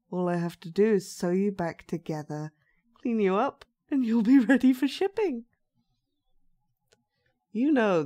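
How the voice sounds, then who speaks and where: Woman playing a slow, soft-toned sinister dollmaker. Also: mid-range voice. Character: unhinged, sweetly sinister young female dollmaker kidnapper. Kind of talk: scripted horror audio roleplay.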